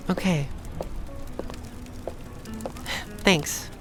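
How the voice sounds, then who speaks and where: A woman's voice: takes it slowly, fairly bright tone, faint music behind. An actress playing a guarded, independent young woman drifter, speaking dryly in a noir audio drama. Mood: wary. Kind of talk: audio drama acting with noir first-person narration.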